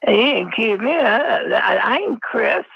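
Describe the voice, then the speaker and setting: A man phones in through a voice changer with a high, extremely creaky voice. He is halting and repeats himself, and the dull, dry call sounds like a radio. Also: animated, dry recording. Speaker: caller to a live stream. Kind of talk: unscripted phone-in.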